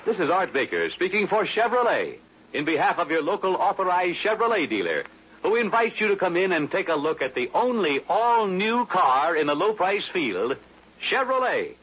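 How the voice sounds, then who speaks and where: High-ish American man, animated ad read, 1950s radio, dull recording. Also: moderate pace, breathy edge. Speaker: advertising spokesman. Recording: muffled vintage radio recording.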